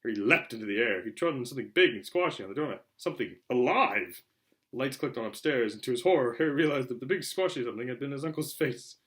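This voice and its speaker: A man narrating a children's novel aloud in an animated way, occasionally stumbling over words. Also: medium pitch, fast talker. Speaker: reader, show host. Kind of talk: amateur audiobook-style story narration.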